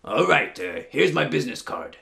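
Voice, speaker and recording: A man's voice: a little high, very animated, moderate pace, vocal fry, pushed and bright, exaggerated voice. Guy doing a casual wolf daycare boss in a folktale, haggling, voice bouncing up and down. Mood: wry, haggling. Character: nice, businesslike male wolf running a daycare. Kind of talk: live folktale storytelling.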